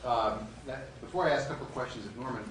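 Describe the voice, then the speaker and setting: A man's voice: mid-range voice, fast, fairly bright tone. A man hosting a screening event, giving an introduction speech with emphatic repetition. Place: event hall before an audience. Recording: roomy, noisy hall, far from mic.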